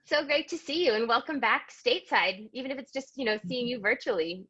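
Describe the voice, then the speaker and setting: A woman's voice: on the high side, a little fast, fairly bright tone, slightly rough, dull audio. A woman hosting a webinar interview over a video call, greeting her guest in a friendly, welcoming way. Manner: friendly, welcoming, some "you know"s. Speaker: webinar host.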